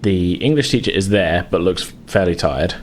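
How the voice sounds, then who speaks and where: Male game master, deep register, halting improvised narration, faint noise behind the voice. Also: lively intonation. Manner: hesitant, hedging delivery.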